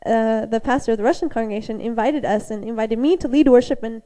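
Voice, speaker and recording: A woman with a high, soft-edged voice talks in a relaxed, chatty way. She laughs easily and often between her sentences. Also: quick pace. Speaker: missionary worship leader. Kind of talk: casual chat.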